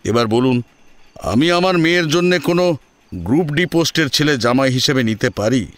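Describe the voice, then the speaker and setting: Man acting a proud father with animated delivery. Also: medium pitch, normal speed, dry recording, lightly acted. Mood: haughty, refusing. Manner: firm, haughty. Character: proud, unbending middle-aged father seeking a groom. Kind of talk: audio drama acting.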